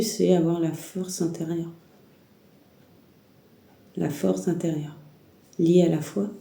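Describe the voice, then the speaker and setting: Gravelly, congested woman giving tarot guidance. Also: lowish voice, warm tone, roomy sound. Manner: hesitant, rambling, many restarts and fillers. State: stuffy head cold, sore throat. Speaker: tarot reader. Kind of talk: spiritual guidance monologue to the viewer.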